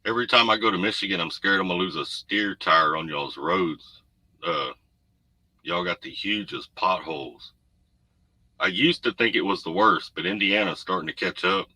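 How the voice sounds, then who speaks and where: Deep low man, drawl, easygoing rambling livestream chat, crisp forceful tone, desk mic at home. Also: normal speed, dry recording, poor audio. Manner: laid-back, rambling, reads viewer comments. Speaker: trucker livestream host. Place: home room. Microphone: desk USB condenser mic. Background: noise.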